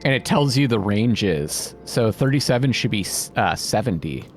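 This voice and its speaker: A man's voice: on the low side, lively intonation, moderate pace. A man giving casual let's-play commentary, muttering and thinking aloud as he goes and reading bits out. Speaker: gaming video host. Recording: music behind the voice. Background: game music.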